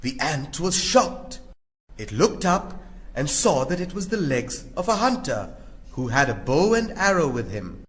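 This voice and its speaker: A man's voice: on the high side, sing-song, unhurried, fairly bright tone. A man narrating a scripted fable for children.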